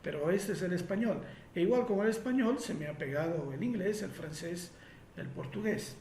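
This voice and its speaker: A man's voice: high voice, animated, moderate pace, a little mellow, roomy sound, a little hiss or hum. A man from Italy speaking Spanish with an Italian accent, answering listener questions in a reflective way with frequent fillers and restarts.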